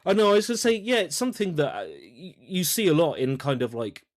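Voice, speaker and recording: A man chatting as an interview guest about his creative work, thinking aloud haltingly and often repeating words. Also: a little high, very animated, no room sound, clean studio sound. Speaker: audio drama writer-director, interview guest. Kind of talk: casual interview chat.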